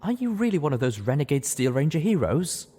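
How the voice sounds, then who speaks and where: A man's voice: fairly high pitch, very animated, brisk, warm tone, husky, voice pushed up. A man voicing an eager young male pony, a starstruck would-be hero in a story reading. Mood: eager, awed.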